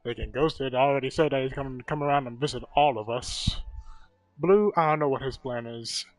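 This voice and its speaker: A young man in casual gaming voice chat, explaining things in measured phrases. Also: medium pitch, dry recording, soft background music, low-quality recording. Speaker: gamer chatting with streamer.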